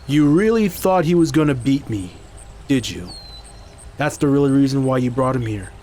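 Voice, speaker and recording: A man's voice: medium pitch, expressive, moderate pace, dark tone, lightly acted. A man playing a confident, stern fighter who guards a sacred treasure in an audio drama, firm and teasing. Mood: stern, assured. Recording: faint background noise.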